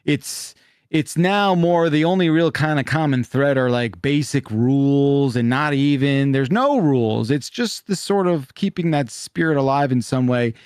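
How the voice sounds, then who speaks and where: A man's voice: medium pitch, a little slow, a little mellow, clear, resonant tone, no echo, clean studio sound. A man in his forties chatting casually as a podcast panelist, explaining things to his friends. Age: 40s.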